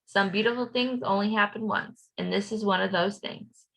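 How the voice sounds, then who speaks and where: A woman voicing a kindly, amused father in a children's storybook, with an affectionate and gentle manner. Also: mid-range voice, normal speed, gravelly, low-quality recording. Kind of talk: children's storybook read-aloud.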